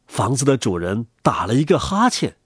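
Husky, lively man reading a children's story. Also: medium pitch, normal speed, no room sound, studio quality. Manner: gentle, animated storyteller voice.

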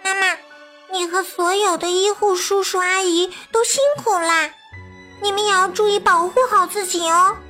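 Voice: very high-pitched, steady tone, moderate pace, pushed and bright, faint music behind. A performer playing an earnest, sweet, curious young child in a children's radio drama. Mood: eager, loving.

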